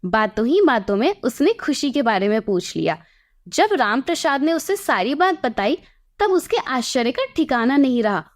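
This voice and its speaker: Woman, storyteller, higher-pitched voice, scripted folk-tale narration. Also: very animated, normal speed.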